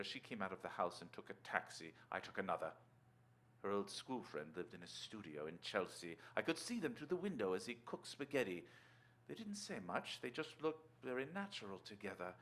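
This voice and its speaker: A suave, scheming retired tennis player in a radio play. His voice sits fairly low but swings widely in pitch. He is urbane and glib, leading the talk with polished affability. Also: sing-song, fairly quick, fairly bright tone. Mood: brooding, calculated. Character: suave, calculating retired tennis star, a married man. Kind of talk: stage radio-drama acting.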